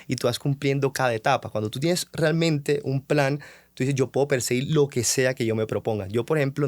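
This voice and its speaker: A man's voice: medium pitch, no echo. A young man, hosting a motivational podcast and telling his own story, acting out the questions he once asked himself. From Colombia. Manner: animated, acts out his own past self-questioning. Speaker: podcast host.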